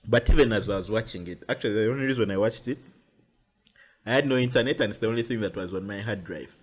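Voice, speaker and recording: A man's voice: on the low side, fast, slightly rough. Chatty Ugandan man hosting a podcast. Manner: chatty, animated storyteller. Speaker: podcast host. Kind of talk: casual chat.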